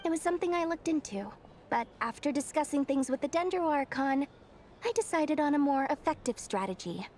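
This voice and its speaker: A woman's voice: sits high, lively intonation, brisk, darkish tone, slight background noise. A woman voicing a brash young female merchant who acts greedy but secretly cares, in a video game. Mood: earnest. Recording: game audio with music behind.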